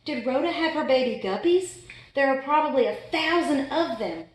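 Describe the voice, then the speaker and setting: A woman's voice: sits high, pitched above the performer's own voice. A woman voicing an exasperated, fussy pet goldfish in a dramatic children's storybook read-aloud. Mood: wistful, worried. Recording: echoey room.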